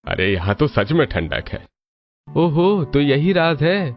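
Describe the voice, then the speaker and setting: A man acts out an older father-in-law from a family cartoon. He is homely and full of exclamations, with the voice pushed higher. The audio is a bit dull. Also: very animated, slow pace, a little hiss or hum, full character voice. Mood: surprised.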